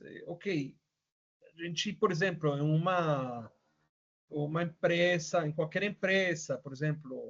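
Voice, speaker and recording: A man's voice: on the high side, a bit bright. Venezuelan-accented male presenter, casual and chatty with lots of fillers, dull lo-fi call audio. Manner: casual, many fillers and tag questions. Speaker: webinar presenter. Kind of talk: online webinar presentation. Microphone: video call. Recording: muffled lo-fi audio.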